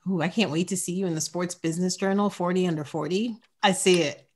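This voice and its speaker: A woman in her forties hosting a podcast interview, upbeat and encouraging, quick to praise her guests. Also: on the low side, fairly even intonation, slight background noise. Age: 40s. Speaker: podcast host. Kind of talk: casual interview chat.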